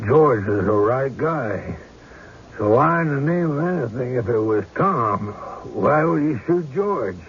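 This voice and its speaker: An actor playing a deliberate, sceptical small-town sheriff with folksy speech in an old-time radio drama. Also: male voice, mid-range voice, animated, husky. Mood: sceptical, questioning. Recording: noisy, dull lo-fi radio recording.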